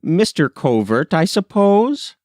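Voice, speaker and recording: A man's voice: on the high side, big pitch swings, takes it slowly, a little mellow, no echo, studio quality, pitched above the performer's own voice. A man voicing a hearty, forthright, middle-aged working-class man in an audiobook story. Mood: hearty greeting.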